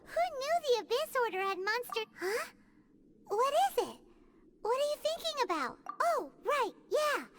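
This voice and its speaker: Childlike timid fairy companion, video game, extremely high pitch, lively swooping intonation, anxious exclamations. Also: female voice, a little slow, heavy background noise, full character voice. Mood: relieved, worried. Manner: refers to self in third person, exclaiming, anxious.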